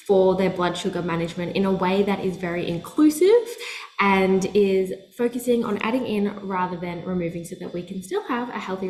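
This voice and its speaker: A woman's voice: medium pitch, darkish tone, roomy sound, slight background noise, low-quality recording. A woman hosting a wellness podcast as a nutritionist, effusive and enthusiastic as she introduces and chats with her guest. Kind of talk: podcast hosting and casual chat.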